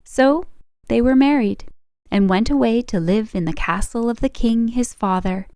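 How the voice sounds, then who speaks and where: A woman's voice: on the high side, moderate pace, darkish tone, smooth, no room sound, studio quality. A woman reading a scripted folk tale as a storyteller, in storybook narration style. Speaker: storyteller, channel host.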